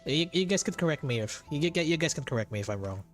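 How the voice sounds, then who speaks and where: A man's voice: fairly high pitch, expressive, quick pace, soft background music. Chatty Filipino-accented man streaming a game. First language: Tagalog. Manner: joking, chatty, hesitant. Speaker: streamer playing and reacting to a game. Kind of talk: casual game commentary.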